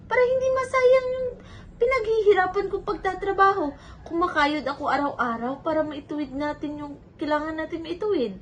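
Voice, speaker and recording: A woman's voice: very high-pitched, brisk. A Filipino woman playing a hardworking, self-sacrificing older sister in a stage drama, speaking in an earnest, motherly, pleading way. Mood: hurt, insistent. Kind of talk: amateur one-act stage play acting in Filipino. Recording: dull lo-fi sound, faint noise.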